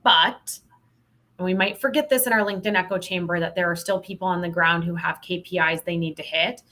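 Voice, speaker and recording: Woman on a podcast giving advice, kinda low voice, blunt and emphatic, casual chat. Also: steady tone, fairly quick, bright, forceful tone. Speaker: podcast guest, sales expert.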